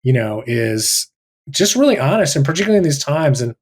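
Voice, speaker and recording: A male teacher sharing personal reflections in a thoughtful, halting way with many restarts, over a video call. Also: medium pitch, very animated, slightly rough.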